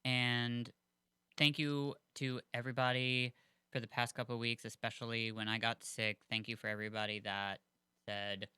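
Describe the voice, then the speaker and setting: A man's voice: mid-range voice, flat delivery, normal speed, sharp tone, clear, resonant tone, dry recording, clean studio sound. A man co-hosting a podcast, chatting casually and rambling with lots of fillers. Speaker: podcast co-host.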